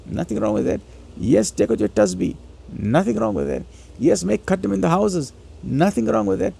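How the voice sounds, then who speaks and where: A man with a raspy voice giving a motivational religious lecture, urging listeners emphatically and repeating phrases for stress. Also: sits high, big pitch swings, moderate pace, mellow. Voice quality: raspy, gravelly.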